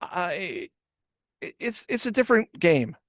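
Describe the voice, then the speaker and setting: A man's voice: sits high, sing-song, measured pace, no room sound, clean studio sound. A man hosting a casual reaction podcast, rambling with stammered restarts and swearing. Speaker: podcast host.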